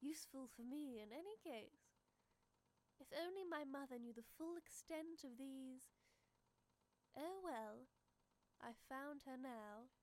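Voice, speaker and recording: A woman playing a sinister, gloating villain in an audio drama, mock-sweet, unhurried and condescending. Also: high voice, animated, darkish tone.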